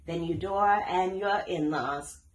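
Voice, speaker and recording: A woman voicing a genial, gracious older Southern gentleman from a children's storybook. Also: fairly low pitch, measured pace, slight background noise, natural voice. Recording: echoey room.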